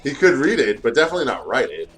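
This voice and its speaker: A man's voice: mid-range voice, very animated, rapid-fire, a bit bright. A tired man co-hosting and reading fan fiction aloud in a casual way, stumbling over words and adding joking asides. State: tired, short of sleep.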